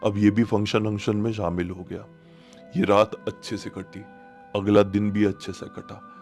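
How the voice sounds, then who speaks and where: A man's voice: fairly low pitch, moderate pace, soft background music. A man telling a horror story informally, in a conversational and dramatic retelling that speaks straight to the listener. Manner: animated retelling, addresses listeners directly, repeats phrases for suspense.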